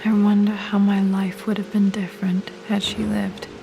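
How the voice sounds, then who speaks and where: An actress playing a pensive young British woman explorer in a video game, murmuring to herself in hushed tones as she searches. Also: medium pitch, little pitch movement, moderate pace, gravelly, noisy background, lightly acted. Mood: pensive, searching. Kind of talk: video game cutscene acting, musing to herself.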